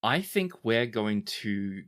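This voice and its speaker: A man's voice: fairly low pitch, sing-song, takes it slowly, fairly bright tone. A man speaking as game master, improvising narration for a tabletop game in a conversational, hesitant way.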